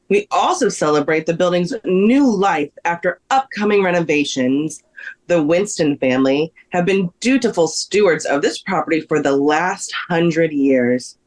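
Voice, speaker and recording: A woman playing a gracious, formal society president giving a measured ceremonial speech at a table read. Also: fairly low pitch, lightly acted.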